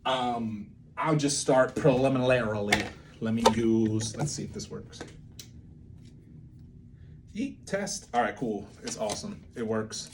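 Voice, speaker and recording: A man's voice: medium pitch, animated, measured pace, background noise. A man giving an informal whiteboard lesson, thinking aloud in a rambling way and often interrupting himself. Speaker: creative methods teacher and playwright.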